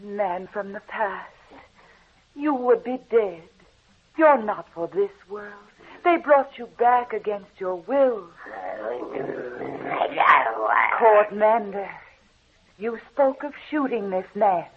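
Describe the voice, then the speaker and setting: A woman's voice: medium pitch, slow pace, natural voice. An actress playing a vengeful woman risen from the dead in old-time radio horror, speaking in solemn, commanding incantations. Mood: cold, solemn. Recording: thin, muffled vintage radio recording.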